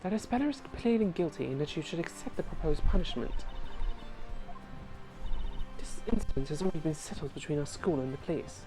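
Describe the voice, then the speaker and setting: A woman's voice: sits low, expressive, a little fast, long pauses, over music, low-quality recording. A woman voicing a stern female headmistress who talks in a clipped, commanding way. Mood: cold.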